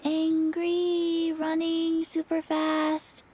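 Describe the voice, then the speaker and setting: A woman voicing a placid small child in a video game, speaking deadpan in short, simple, clipped phrases. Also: extremely high pitch, drawn-out words, heavily acted. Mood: calm, blunt.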